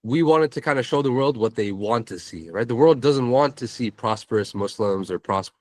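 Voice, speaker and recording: A man's voice: mid-range voice, lively intonation, moderate pace, dry recording. A man answering as an interview guest, casual and reflective, often checking that the listener follows. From San Francisco Bay Area, California. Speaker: interview guest, social media creator.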